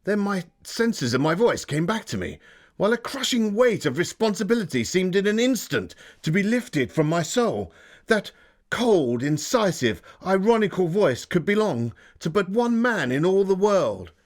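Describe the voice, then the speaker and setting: A man voicing an earnest Victorian gentleman, reading his diary as a solemn, dramatic literary storyteller. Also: sits high, big pitch swings, normal speed, voice pushed up. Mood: shaken, relieved.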